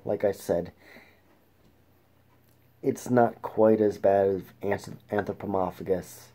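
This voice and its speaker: A man hosting a casual film review show, talking in a halting way with restarts and throat clearing. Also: lowish voice, dark tone. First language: English.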